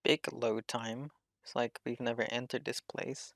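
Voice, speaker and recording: A man's voice: medium pitch, little pitch movement, a little slow, gravelly, fairly bright tone, dry recording, studio quality. A man giving casual gameplay commentary while he plays through a video game. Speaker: gamer playing through a quest.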